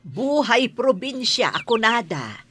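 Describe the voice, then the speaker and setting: Woman acting animated, motherly Ilocano village aunt. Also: on the high side, takes it slowly, a little hiss or hum, exaggerated voice. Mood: affectionate, teasing. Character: welcoming, motherly middle-aged provincial aunt. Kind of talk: Ilocano audio drama acting.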